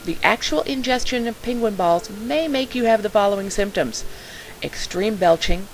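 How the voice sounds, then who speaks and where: A woman's voice: mid-range voice, animated, normal speed. Woman ad announcer, hissy recording. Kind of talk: scripted parody commercial. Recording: clearly noisy, hissy track.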